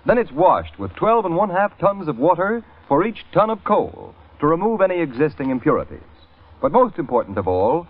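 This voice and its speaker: A man's voice: fairly high pitch, highs cut off. A man delivering a polished, salesmanlike commercial as a vintage radio announcer. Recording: thin, band-limited old radio recording.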